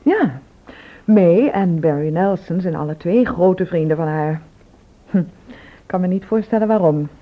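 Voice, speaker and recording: An actress playing a frank, sharp-tongued, wry woman shopkeeper in a radio drama. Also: fairly low pitch, lively intonation, unhurried. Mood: frank, concerned. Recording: thin phone-like old radio recording.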